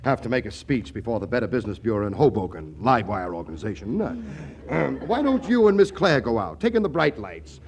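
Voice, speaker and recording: Radio comedy role: slick city promoter, mid-pitched, highly expressive, glib salesman manner, commanding, 1940s style. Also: a little fast. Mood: smooth persuasion. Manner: glib, take-charge, salesmanlike. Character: slick, persuasive big-city promoter and school boss.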